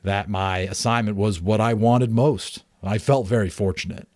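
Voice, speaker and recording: A man voicing a gentle, shy father who earnestly recalls his childhood in an audiobook. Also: on the low side, moderate pace, no echo, clean studio sound, lightly acted. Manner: earnest, reminiscing.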